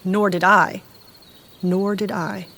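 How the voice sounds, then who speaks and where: A woman playing a casual, expressive character in an audio drama, with an informal, wry delivery. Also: mid-range voice, slow pace, slight background noise. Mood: wry, surprised.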